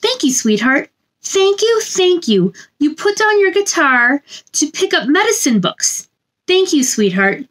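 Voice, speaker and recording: A woman storytime host with a high voice that swoops up and down. She speaks in a gentle sing-song way, as if to young children, moving between picture-book reading and chatty asides. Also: big pitch swings, measured pace. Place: small indoor room.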